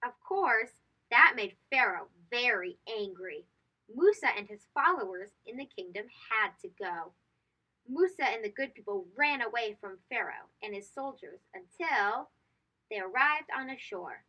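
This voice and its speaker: A woman's voice: fairly high pitch, very animated, moderate pace, pushed and bright, dull audio. A woman reading a children's storybook aloud, expressively and speaking directly to young listeners. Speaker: host of children's read-aloud show.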